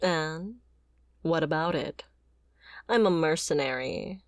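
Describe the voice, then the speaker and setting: A woman's voice: low voice, expressive, slow, no echo, studio quality, full character voice. A woman playing a fiery, bitter mercenary and wounded former bodyguard in a confrontational, sardonic audio roleplay. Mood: sullen, dismissive. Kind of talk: dramatic ASMR roleplay acting.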